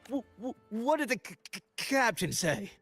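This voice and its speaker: High-pitched stammering little creature. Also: female voice, very animated, normal speed, husky, soft background music, heavily acted. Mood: jittery, anxious. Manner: nervous stammer. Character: timid, stuttering little pirate plushie creature. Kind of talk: video game character acting.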